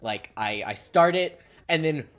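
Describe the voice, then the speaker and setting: Woman streamer, low, lively, self-deprecating chatter. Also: unhurried. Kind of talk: casual live-stream commentary. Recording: faint game music behind.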